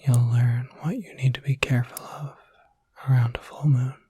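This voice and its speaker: A man playing a gentle, reassuring werewolf caretaker in an ASMR roleplay, speaking in a soft, breathy, soothing whisper with small hesitations, close to the mic. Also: mid-range voice, fairly even intonation, normal speed, lightly acted. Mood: gentle, encouraging.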